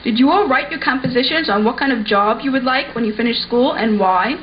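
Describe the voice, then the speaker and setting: A woman's voice: fairly high pitch, fairly quick, natural voice. A woman playing an encouraging, orderly schoolteacher addressing her class in a scripted puppet show. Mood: instructing. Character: encouraging, orderly female primary school teacher. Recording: dull lo-fi sound with faint noise.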